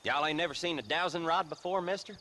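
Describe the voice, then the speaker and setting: A man's voice: on the high side, fast talker, sharp tone, slight background noise. A man playing a colourful, folksy frontier rustic with a Southern American drawl in a western video game. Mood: folksy, chatty. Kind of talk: video game cutscene acting.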